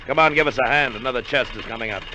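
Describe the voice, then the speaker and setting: A man's voice: mid-range voice, very fast, muffled recording, close to an everyday voice. An actor playing an easygoing, fatherly schooner skipper in a 1950s radio drama. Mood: gruffly teasing. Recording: noisy vintage radio recording.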